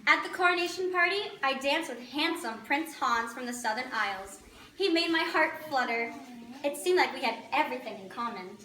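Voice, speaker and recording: A woman's voice: high-pitched, animated, brisk, sharp tone, slight background noise. A woman playing an earnest, romantic young princess, narrating her adventure expressively as a story for children. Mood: dreamy. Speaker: costumed storyteller performer. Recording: distant, echoey room with audience chatter.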